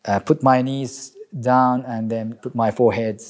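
A middle-aged man from Japan with a Japanese accent, an imam telling his story in an interview, halting and reflective, with frequent restarts. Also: mid-range voice, steady tone. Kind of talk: interview testimony.

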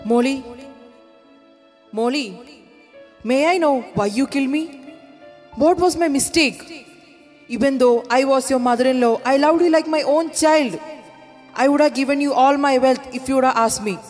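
A woman voices the ghost of a wronged mother-in-law, high-pitched and pleading. Her accusing questions ring out in a long echoing reverb, with music under her. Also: normal speed, pauses often, a little mellow, lightly acted.

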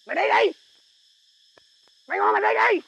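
A man's voice: very high voice, fairly quick, fairly bright tone, dull audio. A man playing a boastful, hot-tempered bandit boss who turns into a stammering coward. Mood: defiant. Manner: blustering, then panicky stammering shrieks. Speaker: comedy sketch actor. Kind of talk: comic sketch acting.

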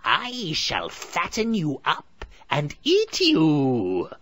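A man doing a crafty old witch, high and slow. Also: huge pitch swings, fairly bright tone, heavily acted. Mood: menacing. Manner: drawn-out, wheedling then shrill and harsh.

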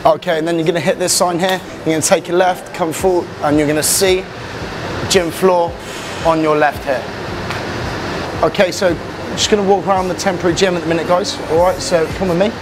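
A man's voice: sits high, fairly even intonation, brisk, over music. A man in his thirties, a personal trainer, leading a chatty walking tour of a gym with lots of fillers, recorded on a camera mic in large indoor halls. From England. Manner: upbeat, chatty, many fillers. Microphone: camera mic, handheld camera following. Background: fans and building work.

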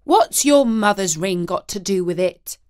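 A woman's voice: mid-range voice, lively intonation, a little slow, a little mellow, close to an everyday voice. A woman voicing a grumpy, dismissive poor husband in a storybook reading.

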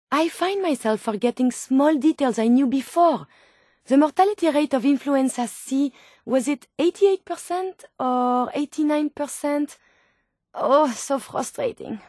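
An actress voicing an earnest, naive robot scientist turned human in a video game, with a French accent and formal, precise phrasing. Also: female voice, high voice, darkish tone, no room sound, clean studio sound, full character voice. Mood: frustrated. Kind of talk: scripted video game character dialogue.

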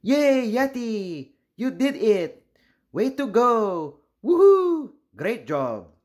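A man's voice: extremely high pitch, very animated, very slow, darkish tone, pitched above the performer's own voice, heavily acted. A man voicing cheerful, supportive little classmates in a children's storybook, chirpy and exclaiming. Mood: cheering.

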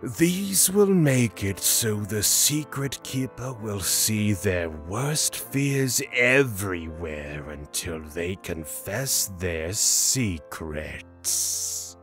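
Man acting slow, deep, haughty god of fear. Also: expressive, fairly bright tone, faint music behind, heavily acted. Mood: ominous, matter-of-fact. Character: haughty, theatrical male god of fears, menacing. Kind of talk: fantasy audio drama acting.

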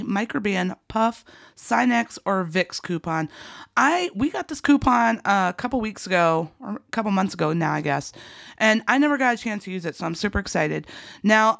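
A woman talks through shopping deals as the host of a coupon video. Her voice is rough and creaky, at a medium pitch, and recorded dry and close. She sounds chatty, folksy and excitable. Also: no echo. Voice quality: rough, gravelly. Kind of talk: enthusiastic casual deal rundown voice-over.

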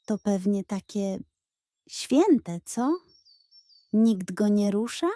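A woman's voice: mid-range voice, lively intonation, drawn-out words, warm tone, no echo. A woman voicing a lazy, greedy, sly male pug in a children's storybook, drawling and mumbling, sugary when he schemes. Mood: sly, sweet.

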